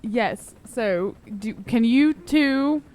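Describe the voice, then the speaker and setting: A woman's voice: a little high, extremely slow, a little mellow. A woman playing a tabletop game in casual, improvised talk, hesitantly rephrasing as she goes.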